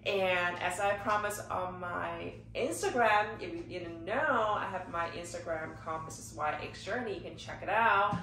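A woman's voice: fairly low pitch, normal speed, few pauses, bright, forceful tone, soft background music. A congested woman recovering from a cold, chatting casually to her viewers in a filler-heavy way while seated in her living room. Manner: chatty, frequent "like" and "you know" fillers. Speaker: luxury unboxing vlogger. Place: living room, seated behind gift boxes. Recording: echoey room, slightly distant mic.

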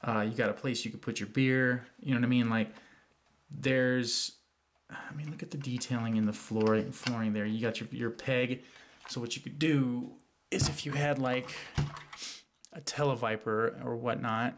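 A man's voice: mid-range voice, steady tone, normal speed, noisy background. A man with a cold, casually reviewing a toy vehicle off camera, rambling with many fillers and restarts. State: congested from a cold.

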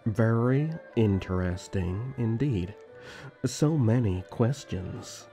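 Man acting as sly rival elf prince, fantasy audio drama, haughty, teasing, dark low drawl, music behind. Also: takes it slowly. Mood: intrigued, aloof. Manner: drawling, condescending, theatrical.

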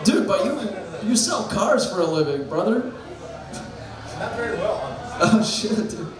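A man's voice: high-pitched, slow. Young male comic in an echoey bar. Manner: deadpan dark jokes, chats with the crowd. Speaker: stand-up comedian. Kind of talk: stand-up set. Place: small bar stage with a live crowd. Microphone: handheld stage mic. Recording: echoey room with loud crowd.

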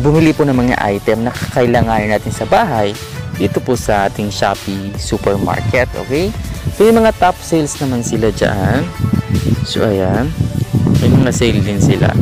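A man with a Filipino accent hosting a screen-recorded app tutorial, talking in a relaxed way and leaning on fillers like "ayan" and "okay". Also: mid-range voice. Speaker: tutorial host. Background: background music.

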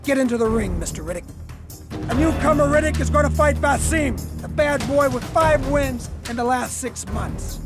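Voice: high voice, animated, normal speed, over music. An actor playing a menacing prison fight announcer in a video game, projecting in a showy way.

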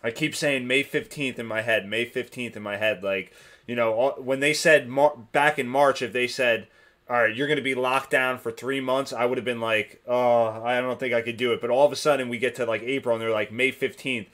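A man from New Jersey hosting a casual interview podcast, relaxed and full of fillers, talking over a remote call. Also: medium pitch, fairly quick. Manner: relaxed, filler-heavy talk. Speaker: podcast host.

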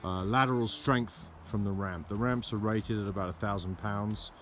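A man narrating a DIY trailer project in a casual how-to style, relaxed and full of "uh" and "um", recorded outdoors in a yard on a camera mic. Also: fairly low pitch, normal speed, a little hiss or hum. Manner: relaxed, lots of "uh" and "um". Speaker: DIY vlogger.

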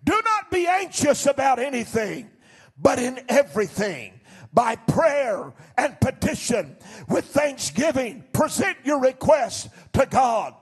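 An older man preaching a sermon as pastor from a church stage into a handheld mic, swinging between shouted declarations and low, quiet passages. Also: very animated, slow pace, breathy edge. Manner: fervent preaching, rising to shouts then dropping low.

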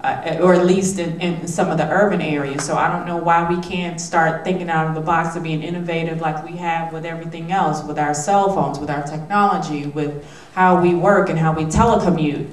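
Low-voiced woman speaking at a panel. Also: fairly even intonation, fairly quick. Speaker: state legislator on a panel. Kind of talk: panel discussion answers. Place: theater stage. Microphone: handheld mic. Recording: roomy, distant, faint noise.

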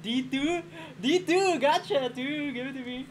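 A young man playing a board game in a small room, excitable and boastful, laughing often, heard from a camera across the table. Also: extremely high pitch, a little slow. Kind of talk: casual game banter.

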